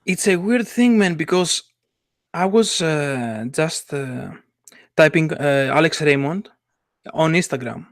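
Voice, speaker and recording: Male co-host, fairly high voice, dry close sound, halting and eager delivery. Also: lively intonation, no echo. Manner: halting, many "uh" fillers, enthusiastic. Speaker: podcast co-host, comic artist. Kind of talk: casual chat.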